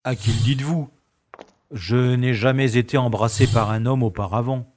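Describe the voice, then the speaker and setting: An actor playing a self-assured secret agent in an audio drama, speaking with dry, formal irony. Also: male voice, mid-range voice, fairly even intonation, normal speed, a little mellow, a little hiss or hum, natural voice. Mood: taken aback. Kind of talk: French audio drama acting. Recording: echoey, music behind.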